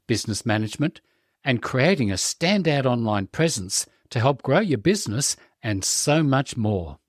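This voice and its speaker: A man's voice: medium pitch, no room sound, clean studio sound. A man hosting a podcast interview, welcoming his guest with enthusiastic, effusive praise. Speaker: podcast host.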